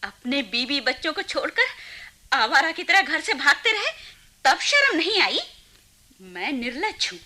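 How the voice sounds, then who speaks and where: High-pitched, anguished mother in a radio play. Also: very animated, pushed and bright, exaggerated voice. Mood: bitter, defiant. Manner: emotional, dramatic delivery with drawn-out pleas. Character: anguished middle-aged mother and long-suffering wife, turning defiant. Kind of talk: Hindi radio play acting.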